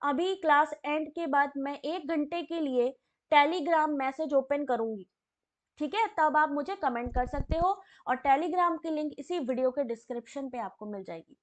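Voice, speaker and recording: A woman with a high voice, teaching a live online exam class while hoarse from a sore throat. She reads quiz questions and answers, then turns to chat messages and calls viewers by name in a friendly, talkative way. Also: unhurried. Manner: chatty, addresses viewers by name.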